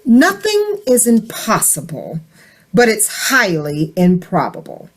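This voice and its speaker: A woman playing a deliberate, formal official giving solemn testimony in an old-time radio drama. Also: mid-range voice, huge pitch swings, exaggerated voice. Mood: firm, expert. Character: measured, careful expert medical witness and solemn jury foreman. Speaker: actor in an amateur radio play.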